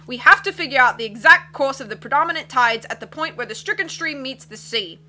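A woman voicing a loud, hasty male submarine captain who cries out his lines and rambles, reading a storybook aloud. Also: high voice, lively intonation, fairly quick. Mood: hearty bluster. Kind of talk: read-aloud storybook acting.

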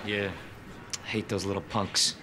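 Slow-talking, easygoing deadpan man, played by an actor. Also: lowish voice, lightly acted. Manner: casual, hesitant, deadpan. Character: awkward, easygoing man flirting with a homeless woman. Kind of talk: sitcom acting. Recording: loud background noise, ambience.

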